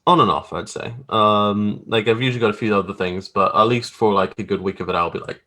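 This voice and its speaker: Male interview guest, lowish voice, casual and loose, feeling his way through explanations of his creative work. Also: brisk, pushed and bright. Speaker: guest graphic artist. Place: remote video call.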